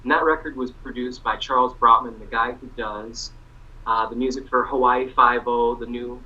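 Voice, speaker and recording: A man's voice: medium pitch, steady tone, normal speed, bright, forceful tone, breathy edge, muffled recording. A man speaking as a musician guest in a casual interview chat, easygoing and friendly.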